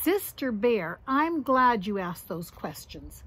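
A woman's voice: mid-range voice, big pitch swings, takes it slowly, a bit bright, slightly rough, exaggerated voice. A woman voicing a serious, protective father bear in a storybook, giving emphatic instruction. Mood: serious, warning.

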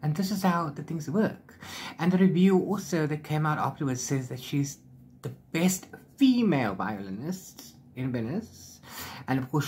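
A middle-aged man chatting casually as he recaps a book, rambling along in an animated retelling. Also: medium pitch, a little hiss or hum. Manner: rambling, animated retelling with filler sing-song syllables. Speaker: book reviewer vlogger. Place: small book-lined room at home.

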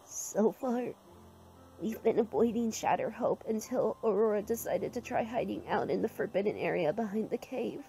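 A woman voicing an earnest, protective female pony in an animated fan drama. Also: mid-range voice, normal speed, vocal fry, slight background noise, close to an everyday voice. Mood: anxious recounting.

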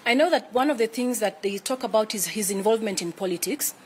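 Fast-talking Kenyan woman, formal and measured. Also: mid-range voice, expressive, a little mellow, slight background noise. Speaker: spokesperson for a retired president's office. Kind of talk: press conference answers. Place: indoor room with flags, press briefing.

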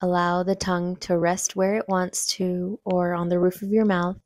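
A woman leading a guided meditation, giving gentle, unhurried instructions with long pauses between phrases. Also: lowish voice, little pitch movement, no room sound, studio quality.

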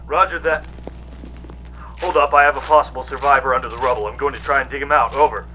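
A man's voice: medium pitch, steady tone, fast, bright, forceful tone. Wary soldier on a crackly radio, played by a man. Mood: urgent. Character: wary Canadian soldier exploring a cave by radio. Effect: military radio. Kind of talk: audio drama military radio acting. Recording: faint radio static and noise.

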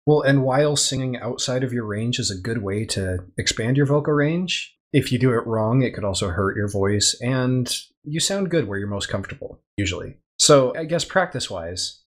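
A man's voice: medium pitch, lively intonation, moderate pace, hardly any pauses. A man co-hosting a casual podcast who adds thoughtful, slightly corrective remarks. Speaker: podcast co-host.

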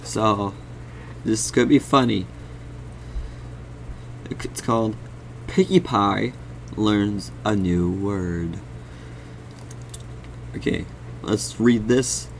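A young man talks through a casual reaction video in a fairly low voice. He goes slowly and haltingly, rambling as he comments. Some background noise sits behind him. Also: gravelly. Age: young adult. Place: dim room indoors.

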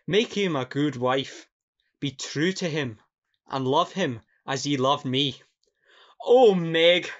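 On a slightly muffled recording, a heartbroken Scottish country lad, played by a man speaks slowly. His voice is fairly high, and he is mournful and melodramatic, as in a radio farce. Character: heartbroken, self-sacrificing Scottish peasant lad. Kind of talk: farcical radio play acting. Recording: dull, lo-fi audio.

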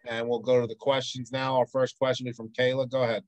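A man with a medium-pitched voice moderates a press conference. He sounds dry, with no room around him, as he hands out questions. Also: level tone, quick pace, a little mellow, dry recording, highs cut off.

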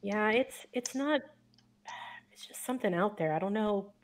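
A woman's voice: mid-range voice, moderate pace, slight background noise. A woman playing a tabletop roleplay game, improvising her crew member's lines in loose, casual chat. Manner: informal, loose, swearing. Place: indoor room at home, on a video call.

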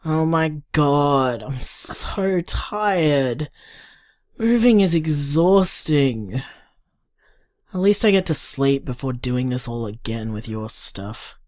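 An actor playing an affectionate, gently rambling young Australian boyfriend in an audio drama told through voicemails. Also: male voice, on the high side, animated, slow pace, no room sound, studio quality. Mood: weary, affectionate.

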